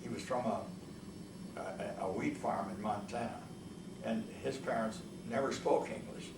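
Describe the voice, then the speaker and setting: A man's voice: lowish voice, measured pace. An elderly man who spoke Italian at home as a child, recounting his past in an oral history interview, rambling and hesitant with many restarts. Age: older. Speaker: veteran interviewee. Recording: echoey room, mic far off, noisy.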